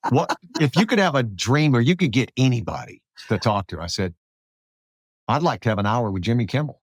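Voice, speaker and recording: A man's voice: mid-range voice, fairly quick, breathy edge, no room sound. An American man hosting a conversational political podcast, talking emphatically and acting out quotes as he makes his points. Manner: animated, emphatic, acts out quotes. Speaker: podcast host.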